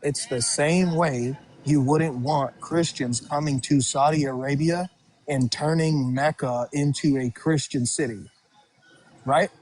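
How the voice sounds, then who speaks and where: A man's voice: fairly high pitch, steady tone, slow pace, darkish tone, rough recording. A man hosting a livestream debate, combative and interrupting, calling the other side "dude" and "bro". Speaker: livestream host. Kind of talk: heated debate.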